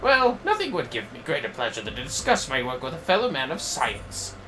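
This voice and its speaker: A man voicing an excitable, pompous scholar from a fantasy game, with florid, theatrical, old-fashioned phrasing. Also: medium pitch, brisk, faint music behind, poor audio. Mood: delighted. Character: excitable, pompous male scholar proud of his research. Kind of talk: acted reading of fantasy game dialogue.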